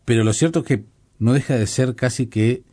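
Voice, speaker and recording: A man's voice: medium pitch, fairly quick, warm tone. A man hosting an interview, asking long, meandering questions. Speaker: host. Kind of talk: interview conversation.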